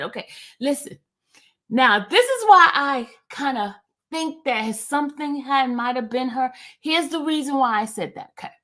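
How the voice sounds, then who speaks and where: Chatty New woman livestreaming. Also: high voice. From New York. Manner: animated, chatty, digressive, talks straight to chat. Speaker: YouTube livestream host. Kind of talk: casual livestream chat reading viewer comments.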